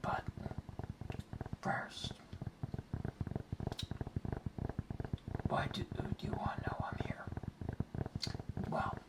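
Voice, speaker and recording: Guy doing an earnest frog guardian for asmr roleplay, whispering real slow, sounds kinda far from the mic. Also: lightly acted. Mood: solemn, inviting. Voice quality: breathy whisper. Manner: slow, hushed, deliberate, repeating words. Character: earnest, welcoming dart frog guardian of the rainforest.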